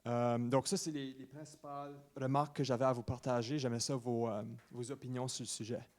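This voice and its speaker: A man in his twenties with an Acadian New Brunswick French accent, giving an informal presentation at a meeting, often pausing mid-phrase. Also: mid-range voice, little pitch movement, a little mellow. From Saint-Louis-de-Kent, New Brunswick, Canada. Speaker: student presenter to a municipal council.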